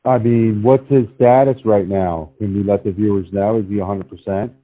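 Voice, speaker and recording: A man's voice: fairly low pitch, fairly even intonation, normal speed. A man hosting a casual sports talk show, chatting about picks over a narrow, tinny line.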